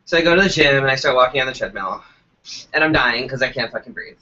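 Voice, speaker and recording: A stuffed-up, congested man co-hosting a casual live web show, telling a story in a profane, animated way. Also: mid-range voice, fast talker. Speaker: co-host. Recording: dull lo-fi web stream.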